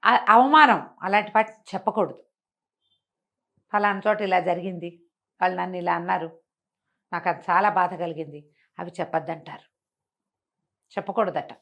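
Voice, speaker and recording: A woman's voice: lowish voice, moderate pace, long pauses, a bit bright. A woman giving a conversational moral talk, teaching emphatically and often posing rhetorical questions. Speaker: storyteller explaining moral teachings.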